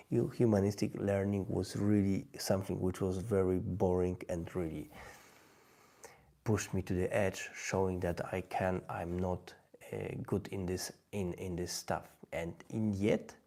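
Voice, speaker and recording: A man with a Polish accent talking to camera about learning languages, halting, with many restarts and "yeah?" tags. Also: deep voice, gravelly, mellow. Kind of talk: direct-to-camera talk.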